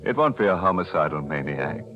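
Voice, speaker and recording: A man's voice: a bass voice, brisk. An American man playing a sardonic, macabre host of a 1940s radio mystery show. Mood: sly, macabre. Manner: sardonic, deadpan ghoulish humor. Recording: muffled 1940s radio, music behind.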